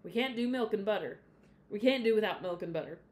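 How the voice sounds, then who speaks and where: A woman's voice: medium pitch, animated, quick pace, darkish tone, natural voice. A woman reading aloud, voicing a grouchy farmer father who speaks in a disgusted, firm way. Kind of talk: storybook read-aloud.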